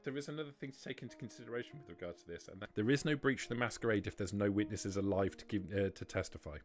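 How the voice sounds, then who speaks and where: A man with a fairly low voice leads a tabletop roleplaying game. He explains the rules and lore in careful detail, laying out each point as game master. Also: very fast, soft background music.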